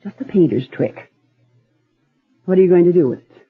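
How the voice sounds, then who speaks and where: A woman's voice: fairly low pitch, animated, moderate pace, breathy edge, exaggerated voice. A woman playing an exasperated, scornful wife in a radio drama, scolding and sarcastic. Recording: muffled old radio recording.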